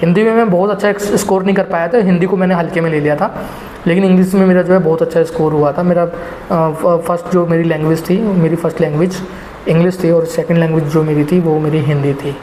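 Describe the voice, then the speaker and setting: High-voiced man giving exam tips. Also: steady tone, fast, dark tone, slight background noise. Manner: rambling, heavy filler phrases, addresses viewers as guys. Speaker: exam prep teacher. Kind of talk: casual spoken exam advice. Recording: echoey room with faint ambience.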